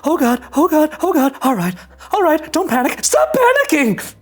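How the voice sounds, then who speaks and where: A woman voicing an anxious, rule-following young male starship ensign in a scripted animated sci-fi read, fretful and earnest. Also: high-pitched, normal speed. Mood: panicked. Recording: music under the voice.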